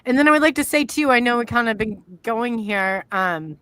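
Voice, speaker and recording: A woman's voice: a little high, animated, moderate pace, fairly bright tone, clear, resonant tone, dry recording. A middle-aged woman with an Iowan accent hosts a casual live-stream chat, talking in a rambling way that trails off as she works the screen. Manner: chatty and rambling, trails off while working the screen. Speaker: podcast host. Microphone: video call.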